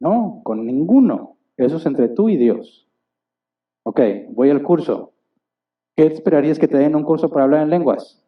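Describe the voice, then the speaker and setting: Man, pastor, sermon, quizzes his flock, fairly high voice, distant muffled church recording. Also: moderate pace. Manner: asks the congregation rhetorical questions; acts out little dialogues. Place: church hall.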